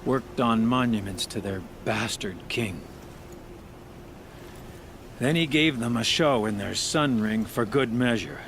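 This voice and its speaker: An actor playing a gruff, bereaved craftsman in a video game, speaking in a blunt, heavy, halting way. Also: mid-range voice, fairly bright tone, slight background noise. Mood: bitter grief.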